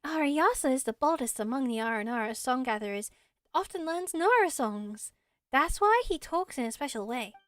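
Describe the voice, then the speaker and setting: Casting: earnest little woodland creature guide, done by a woman, high friendly voice, dry close recording, fantasy game. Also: lively intonation, normal speed, no echo. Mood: friendly, explaining. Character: small, friendly, earnest forest spirit, a helpful guide. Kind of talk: amateur video game voice acting.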